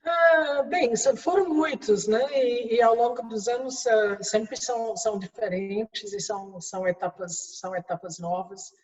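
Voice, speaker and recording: A woman's voice: fairly high pitch, fairly even intonation, measured pace, few pauses, husky. A middle-aged woman from England with an English accent, speaking a second language in an interview and answering with many restarts and fillers. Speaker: guest executive. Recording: distant, roomy, dull sound.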